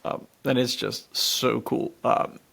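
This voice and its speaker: A young American man co-hosts a podcast in loose, slangy chat. He sounds enthusiastic, with a noticeable creak in his mid-pitched voice. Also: level tone, takes it slowly, fairly bright tone. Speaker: podcast co-host. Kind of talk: casual chat.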